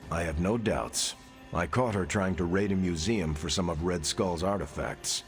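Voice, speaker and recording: An actor playing a dutiful soldier and team leader in a video game cutscene, speaking in a calm, measured way. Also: male voice, low voice, faint music behind. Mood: firm. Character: steady, dutiful male soldier-hero leader.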